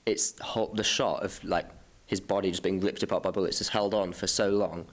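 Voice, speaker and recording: A man's voice: deep voice, steady tone, moderate pace. A man on a podcast, talking casually as he discusses a film. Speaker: podcast host.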